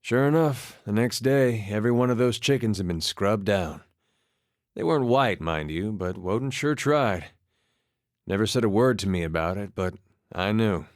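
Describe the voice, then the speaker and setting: A man's voice: lowish voice, lively intonation, normal speed, no echo, lightly acted. A man acting a video game role, an easygoing, wry farmer and war veteran with a folksy, laconic way of talking. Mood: wry, wistful. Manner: folksy, laconic, dry-humored drawl with dropped words. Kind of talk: video game character dialogue, conversational storytelling.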